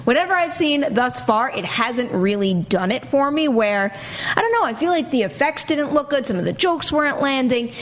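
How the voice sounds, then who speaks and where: A woman's voice: high-pitched, lively intonation, normal speed. A woman hosting a movie news panel, talking casually and analytically in long, flowing explanations. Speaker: host of a movie news talk show.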